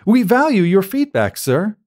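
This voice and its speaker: A man voicing a courteous, patient robot museum guide in a science fiction audiobook, speaking in a formal, measured way. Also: sits high, very animated, darkish tone, voice pushed up.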